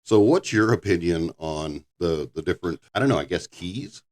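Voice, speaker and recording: An older man with a very low voice, hosting a casual podcast chat, rambling and halting with frequent restarts. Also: animated, darkish tone. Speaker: podcast host.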